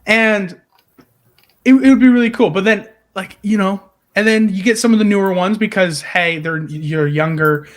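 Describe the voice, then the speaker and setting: A man co-hosting a podcast, excitedly pitching story ideas in casual, emphatic talk peppered with swearing. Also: moderate pace. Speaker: podcast co-host.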